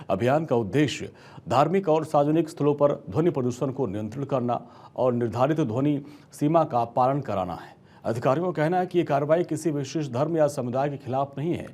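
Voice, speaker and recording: A man's voice: mid-range voice, warm tone. A man reading a scripted news report as an anchor, with emphatic delivery.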